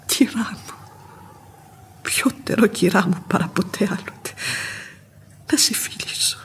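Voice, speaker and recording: An actress playing a devoted, outspoken housekeeper and old nursemaid in a radio play, husky-voiced and expressive. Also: female voice, medium pitch, whispered, natural voice. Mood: forced cheer, bustling. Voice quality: very husky, breathy. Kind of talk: classic radio theatre acting.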